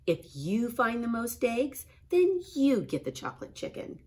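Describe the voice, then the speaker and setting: A woman's voice: a little high, very animated, measured pace, a little mellow, pitched above the performer's own voice. A woman voicing a bossy young girl bunny, an exasperated big sister in a storybook, with an emphatic, scolding manner.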